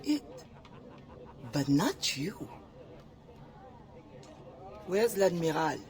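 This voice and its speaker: A slow-spoken wary brothel madam. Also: female voice, lowish voice, very animated, a little mellow, heavily acted. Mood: guarded.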